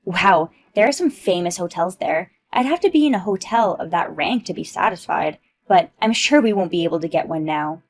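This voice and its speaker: A woman's voice: lowish voice, animated, moderate pace, few pauses, voice pushed down. Condescending rich mom, put on by a woman; haughty and boastful. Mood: snobbish.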